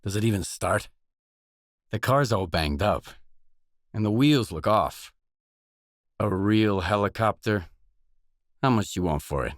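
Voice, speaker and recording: A male actor playing a weary, tough, guarded stalker mercenary in a video game, speaking in blunt, deadpan lines. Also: fairly low pitch, unhurried, long pauses, dry recording, studio quality. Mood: matter-of-fact. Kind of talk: video game voice acting, isolated dialogue lines.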